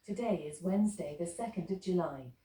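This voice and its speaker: A woman's voice: on the low side, fairly quick. A synthetic female voice speaking as a smart speaker assistant, heard from a device in the room. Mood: flat. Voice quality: even synthetic assistant delivery. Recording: roomy speaker sound, faint hiss.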